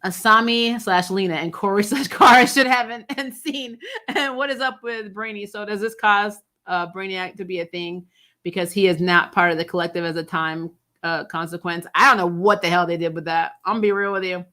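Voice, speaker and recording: A woman's voice: mid-range voice, fairly even intonation, fairly quick, bright, forceful tone. A woman hosting a recap show, giving casual, opinionated fan commentary in a blunt, conversational way.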